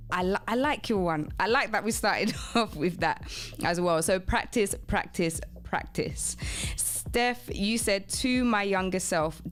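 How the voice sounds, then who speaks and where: Woman radio host, clear smooth voice, chatty n encouraging, reading out listener comments, soft music behind. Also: medium pitch.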